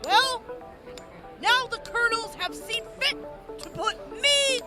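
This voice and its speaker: An actor playing a composed female army major reporting on her unit, measured and earnest. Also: very high-pitched, over loud music. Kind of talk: audio drama acting.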